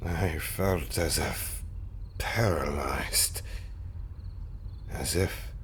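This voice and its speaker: Man voicing a very deep, slow undead husband. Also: a little hiss or hum, full character voice. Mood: haunted, halting. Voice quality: low, breathy, often half-whispered. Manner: halting, old-fashioned genteel phrasing. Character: undead zombie husband, gentle, wistful, gallant and apologetic. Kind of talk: scripted intimate audio roleplay.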